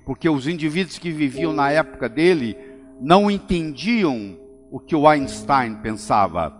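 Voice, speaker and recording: A man's voice: a little high, big pitch swings. Older man giving an emphatic motivational talk. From Brazil. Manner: emphatic, slows down to stress key phrases. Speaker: motivational speaker. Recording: long hall reverb with faint music. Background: faint background music.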